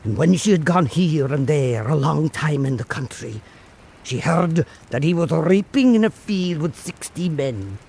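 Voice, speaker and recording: Slow, high-pitched male storyteller. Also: animated, gravelly, a little mellow, slightly rough. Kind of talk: scripted narration of a folk tale.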